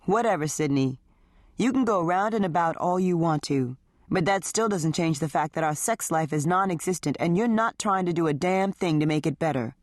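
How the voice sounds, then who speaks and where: A woman's voice: lowish voice, normal speed, dry recording, studio quality, close to an everyday voice. A woman voicing a resentful married man who talks in a hostile, sarcastic tone. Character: resentful, bitter married man in his forties.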